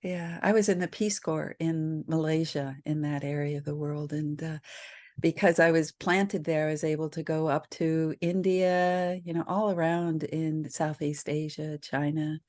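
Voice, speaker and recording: A woman's voice: deep voice, fairly even intonation. An older woman, a psychologist, hosting a podcast interview in casual chat, laughing often. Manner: slow, warm, laughs often.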